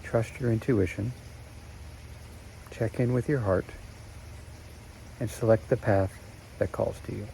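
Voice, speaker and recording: A man narrating a guided meditation in a slow, soothing way with long pauses. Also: on the low side, fairly even intonation, vocal fry, warm tone, a little hiss or hum, rough recording.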